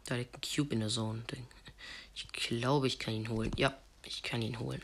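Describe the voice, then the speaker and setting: A man with a cold giving casual, slangy running commentary on a mobile game he is playing, sometimes dropping to hushed asides. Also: mid-range voice, steady tone, moderate pace, slight background noise. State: has a cold. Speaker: gaming video host. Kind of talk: live gameplay commentary.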